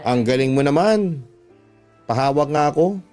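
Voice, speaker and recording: Needy teen schoolmate for radio drama, played by a man, wheedling and admiring, middle pitch. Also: expressive, normal speed, a little mellow, natural voice. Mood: amazed. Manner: coaxing, wheedling. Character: needy, admiring teenage best friend, pleading schoolmate. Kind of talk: radio drama character voice within narration. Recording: music bed under the voice.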